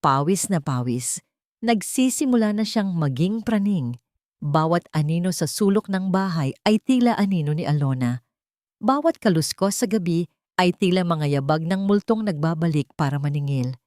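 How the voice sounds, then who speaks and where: A woman's voice: lowish voice, animated, normal speed, a little mellow, no room sound, clean studio sound. A woman narrating a dramatic fictional story as a scripted audiobook.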